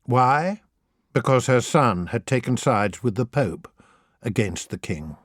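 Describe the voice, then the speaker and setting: Expressive man narrating, dry close sound. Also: mid-range voice, takes it slowly, no echo. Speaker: audiobook narrator. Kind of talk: scripted narration of humorous children's history.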